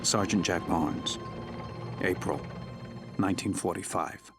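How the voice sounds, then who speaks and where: An actor playing a weary, resolute American World War II sergeant, narrating a wartime journal in a solemn, measured way. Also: male voice, sits low, steady tone. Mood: grim, reflective. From North Dakota, United States. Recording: loud music bed under narration. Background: prominent music.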